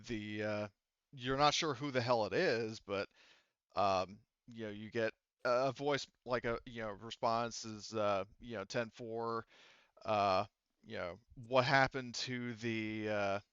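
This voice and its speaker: A man speaking casually and haltingly as a game master, often rewording himself as he explains and narrates a tabletop game. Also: fairly low pitch, fairly bright tone, clear, resonant tone, no echo, muffled recording. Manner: halting, self-correcting, informal with swearing.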